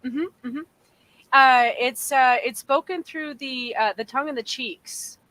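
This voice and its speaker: A woman's voice: sits high, measured pace, crowd noise, poor audio. A Canadian woman chatting casually on a podcast, rambling with lots of fillers and restarts. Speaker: podcast co-host. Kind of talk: casual chat.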